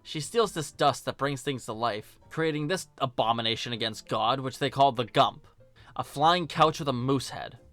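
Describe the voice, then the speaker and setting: A man hosting a film review video, narrating a scripted essay in a conversational way with wry, deadpan asides. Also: mid-range voice, normal speed, no room sound, faint music behind.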